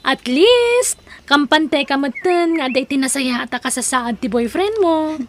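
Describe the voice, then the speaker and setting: A woman's voice: high voice, lively intonation, a little slow, a little hiss or hum. A woman with an Ilocano accent playing a chatty, supportive young female friend in an audio drama. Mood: cheerful. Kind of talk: Ilocano radio-style audio drama acting.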